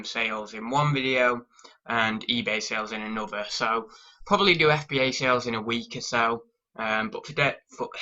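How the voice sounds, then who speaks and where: A man's voice: mid-range voice, sharp tone, highs cut off. A young British man with a cold, chatting casually through a sales update and rambling with lots of ums. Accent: British English. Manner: rambling with many ums and restarts. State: sniffly with a cold. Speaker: online reseller vlogger.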